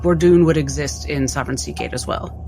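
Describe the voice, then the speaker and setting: Creaky-voiced woman playing a tabletop game. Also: low voice, a little fast, music in the background. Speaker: player in a tabletop roleplaying game. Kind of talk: casual game table talk.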